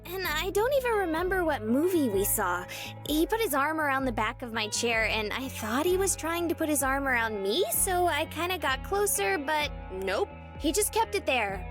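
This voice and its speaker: Young romantic lead, nervous and teasing, visual novel, very high swooping voice over clear music. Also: female voice, big pitch swings, normal speed. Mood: chatty, candid. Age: young adult. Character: Marina, nervous, chatty, teasing young woman in love. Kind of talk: visual novel game voice acting. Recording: music playing behind the voice.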